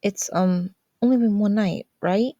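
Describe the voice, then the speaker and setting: A woman's voice: mid-range voice, unhurried, dark tone, no echo, muffled recording, voice pushed up, lightly acted. A woman voicing a sheepish, defensive teenage boy in a story, hesitant and full of excuses. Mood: sheepish, apologetic.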